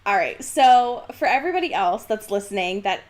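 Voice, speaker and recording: High-voiced woman, animated podcast co-host. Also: fairly quick. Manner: animated, sweary, dryly exaggerating. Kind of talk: casual banter, book discussion.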